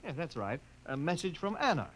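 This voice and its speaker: A fairly high, animated male voice over faint noise, an amiable brother at home. The delivery is in the style of old radio drama. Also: fairly quick. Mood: helpful, matter-of-fact. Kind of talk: 1950s radio drama acting.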